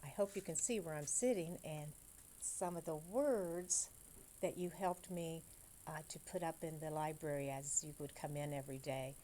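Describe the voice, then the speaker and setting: A woman, a school librarian, reading aloud and chatting to young children about crafts in simple, direct, unhurried words. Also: deep voice, mellow, slight background noise.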